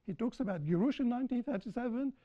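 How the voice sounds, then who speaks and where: A woman speaking on a panel after a film screening, lecturing in a deliberate way and repeating points for emphasis. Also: fairly high pitch, lively intonation. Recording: dull lo-fi recording.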